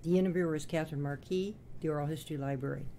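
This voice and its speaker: A woman's voice: low voice, steady tone, racing, noisy background. A woman interviewing a retired professor at his home, reading a formal introduction and then asking short prompting questions. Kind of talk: oral history interview.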